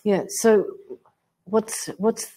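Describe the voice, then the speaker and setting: Woman, panel moderator, brisk, follow-up questions, mid pitch. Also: steady tone, dark tone, rough recording.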